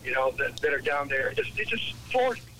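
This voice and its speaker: An American man in his late sixties, calling a radio show, talking politics casually in a halting, repetitive, exasperated way over a telephone line. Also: medium pitch, big pitch swings, sharp tone. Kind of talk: casual political phone-in chat. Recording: noisy telephone call to radio.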